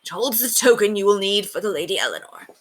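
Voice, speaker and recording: A woman voicing a noble, warm-hearted male knight in a storybook reading. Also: mid-range voice, expressive, quick pace, natural voice. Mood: solemn.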